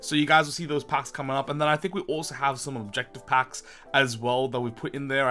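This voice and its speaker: A young man hosting a gaming video in a casual, chatty way, his voice slightly croaky and strained, and he bursts into loud excited shouts. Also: medium pitch. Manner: chatty, calls viewers boys, erupts into loud excited shouts.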